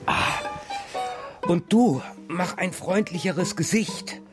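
A man's voice: sits high, slow pace, over music. An actor playing a whimsical, sleepy middle-aged father who banters hesitantly in a children's radio play. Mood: wry, musing.

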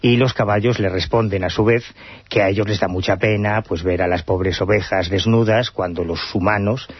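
Husky lowish male radio co-host. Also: steady tone, normal speed, no room sound. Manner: storytelling, explanatory. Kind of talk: radio talk show segment. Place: radio studio. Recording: dry lo-fi radio broadcast.

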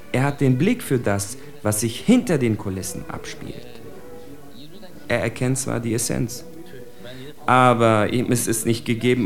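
A man's voice: medium pitch, lightly acted. An actor playing a bitter, analytical spokesman for outcasts, declaiming an articulate literary monologue for radio. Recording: faint music under the voice. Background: faint music.

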